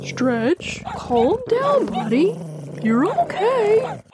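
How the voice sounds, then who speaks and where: A woman voicing a calm, caring male giraffe who soothes his pet dog in a children's story. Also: high voice, takes it slowly, heavy background noise, voice pushed up. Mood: soothing. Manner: soothing, reassuring.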